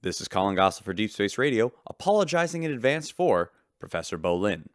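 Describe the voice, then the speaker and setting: An actor playing a polished, wry shipboard radio news reporter in a sci-fi audio drama. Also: male voice, fairly low pitch, sing-song, brisk, dry recording, studio quality. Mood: dryly sarcastic. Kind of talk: scripted radio news report with dry humor.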